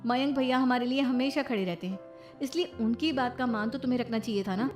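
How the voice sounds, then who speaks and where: A sensible young elder sister, acted by a woman in a family story. The high voice explains and reasons gently over background music. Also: fairly quick, close to an everyday voice. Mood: gently reproving.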